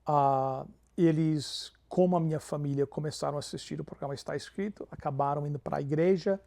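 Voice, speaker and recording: Gravelly pastor, warm tone. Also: male voice, on the high side, fairly quick. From Canada. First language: English. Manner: peppers Portuguese with English fillers. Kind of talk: interview storytelling.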